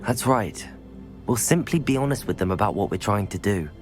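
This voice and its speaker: An actress playing a young student witch, speaking calmly in a husky voice. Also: very deep voice, normal speed. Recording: game audio with faint music.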